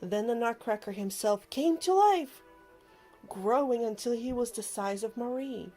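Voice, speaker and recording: A woman narrating a children's storybook aloud with expressive, dramatic emphasis, over music. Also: fairly high pitch, a little slow. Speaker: storybook reader. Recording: music behind the voice.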